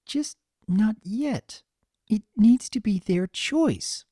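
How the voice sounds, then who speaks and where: A reader voicing a gentle, anxious young female pony with a soft, hesitant, earnest manner in a story reading. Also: medium pitch, animated, slow pace, no echo, studio quality, pitched above the performer's own voice.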